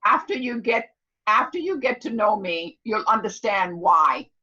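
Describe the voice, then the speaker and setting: An older woman, about seventy, teaches in a bright, forceful voice. She talks casually and emphatically, repeating points to be sure she is followed. The audio is dull and lo-fi. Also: mid-range voice, lively intonation, measured pace. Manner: emphatic, repeats herself and checks listeners understand; loud, clear talker. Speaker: instructor. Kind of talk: casual motivational class talk.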